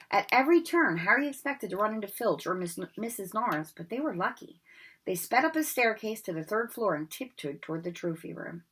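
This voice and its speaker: A woman reading a storybook aloud with expressive narration. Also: medium pitch, breathy edge. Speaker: reader.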